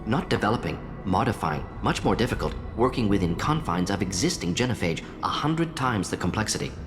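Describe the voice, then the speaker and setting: A man playing a brisk, clinical, proud and principled alien scientist in a video game, speaking in clipped telegraphic phrases. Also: on the low side, very animated. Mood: defensive, earnest. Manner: clipped telegraphic phrases, dropping small words. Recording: reverberant hall, music underneath.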